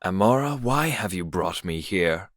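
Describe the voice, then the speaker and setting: A man playing a guarded, brooding trickster god in a fan audio drama, with measured delivery in a reverberant space. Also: low-pitched, expressive, fairly bright tone. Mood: wary. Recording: echoey, long hall reverb.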